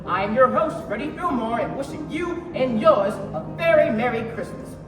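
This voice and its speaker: A courteous old-time radio host, played by a young woman, formal and presentational. The voice is high and somewhat distant, over loud music on an echoey stage. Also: lively intonation, normal speed. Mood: formal, festive. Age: young adult. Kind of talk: 1940s radio announcer sign-off. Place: school theatre stage.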